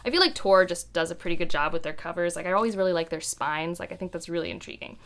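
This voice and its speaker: A woman's voice: fairly low pitch, fast, fairly bright tone. A woman chatting casually to camera about books, enthusiastic and rambling off into asides as she goes. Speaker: book vlogger.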